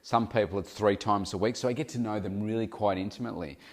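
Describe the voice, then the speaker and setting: A middle-aged man with a somewhat low voice hosts his own podcast as a coach. He sounds a touch nasal from hay fever. He thinks aloud in a loose, casual way, often stopping to correct himself mid-sentence. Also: fast talker. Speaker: podcast host and weight loss coach. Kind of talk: casual solo podcast talk.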